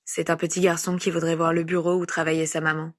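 A woman voicing a smooth, amused, kindly male officer in a read-aloud story. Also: low voice, flat delivery, fast, no echo, studio quality.

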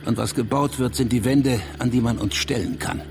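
A man's voice: medium pitch, moderate pace, close to an everyday voice. Sardonic doomsaying man played by an actor, noisy background. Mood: bitter, fearful. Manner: sardonic, rhetorical. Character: bitter, fearful conservative educated man, sardonic doomsayer. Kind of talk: German radio drama acting. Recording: clearly noisy background ambience.

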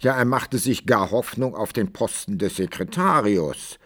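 A man's voice: lowish voice, measured pace, a bit bright. An actor playing an old-time author who narrates his hero's adventures in a radio play, emphatic and literary. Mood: narrating.

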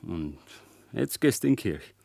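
An actor playing a bitter, stubborn young farmer's son in a radio drama, brooding and accusing in Bavarian dialect. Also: male voice, low voice, big pitch swings, unhurried, creaky, mellow, dry recording, heavily acted. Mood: sullen, reproachful.

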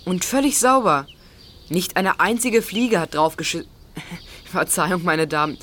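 A clever, self-assured boy sleuth in a children's audio drama. The voice sits a little low and explains or gives orders with assurance. Faint noise lies behind it. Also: expressive, unhurried, fairly bright tone. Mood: confident, explaining. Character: Tarzan, confident clever boy detective leading his friends.

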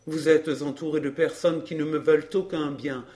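A man acts out a smooth, scheming gentleman suitor from an audiobook drama. His voice sits a little high for a man, and his speech is formal, theatrical and full of passion. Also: level tone, moderate pace, close to an everyday voice. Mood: earnest pleading. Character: Georges, suave scheming suitor, pleading then violent.

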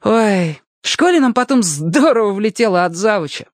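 Excitable high-voiced little boy. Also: normal speed, no echo, studio quality. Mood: excited boasting. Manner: excited, chatty, childlike. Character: lively, eager young boy, trusting storyteller. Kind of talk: acted audiobook dialogue.

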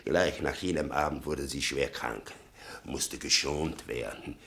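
An actor playing a bitter, sarcastic old father in a German radio play, rambling and muttering with a gravelly voice. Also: very deep voice, a little slow, fairly bright tone, full character voice. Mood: bitter, brooding. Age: older. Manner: rambling, sardonic, muttering and flaring up.

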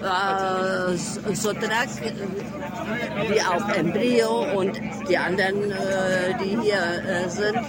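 A woman giving spontaneous interview answers as an activist representative, halting and searching for words, outdoors on a city square. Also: medium pitch, steady tone. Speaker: housing cooperative representative. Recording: very loud outdoor crowd and traffic. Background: loud crowd, vehicles.

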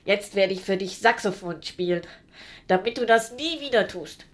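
A woman playing a sad village outcast in a radio play, gently reproachful, forgiving but firm. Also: medium pitch, animated, normal speed, close to an everyday voice.

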